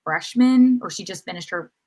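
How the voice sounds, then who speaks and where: A woman on a podcast panel offering casual sports analysis in a measured, reflective way. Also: lowish voice, lively intonation, mellow.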